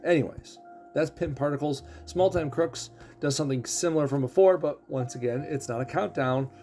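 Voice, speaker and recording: A man casually explaining a game character's abilities, throat rough as he is losing his voice. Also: a little high, moderate pace, mellow, faint music behind. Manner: explanatory, walks through ability text. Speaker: game streamer.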